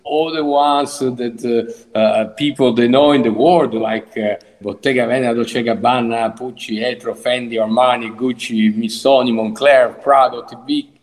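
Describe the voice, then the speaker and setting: A man's voice: mid-range voice, steady tone, moderate pace, hardly any pauses. A man with an Italian accent answering podcast interview questions, hesitant, with many filler sounds. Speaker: guest, fashion chamber chairperson. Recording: echoey stone room.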